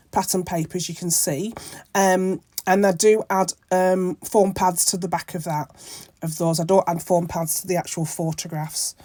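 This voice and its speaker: Croaky woman with a cold. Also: lowish voice, a little mellow, dry recording. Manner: rambling, many ums and self-corrections. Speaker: craft channel host. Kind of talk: casual crafting tutorial narration.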